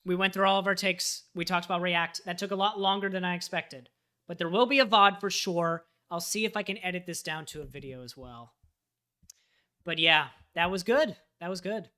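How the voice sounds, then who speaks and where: A man's voice: sits high, normal speed, bright, forceful tone. A man from the United States talking casually on a livestream, thinking aloud as he fills out a form. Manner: chatty, thinking aloud while filling out a form. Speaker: live streamer and web developer.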